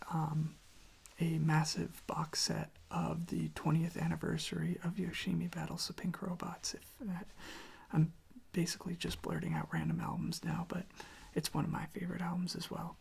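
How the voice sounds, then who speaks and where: An ASMR creator speaking very softly, often in a whisper, in an unhurried, rambling casual chat with self-interruptions, close to the microphone. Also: mid-range voice, creaky, slightly rough. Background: tapping and handling of records.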